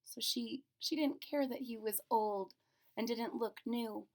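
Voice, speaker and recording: A woman storyteller doing an expressive children's read-aloud, explaining things simply as she goes. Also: on the high side, measured pace.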